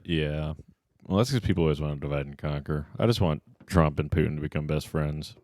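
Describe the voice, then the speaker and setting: A man's voice: a bass voice, fairly quick, darkish tone, dry recording. A man co-hosting a comedy podcast, rambling and riffing on wordplay in casual banter from a studio. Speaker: podcast co-host.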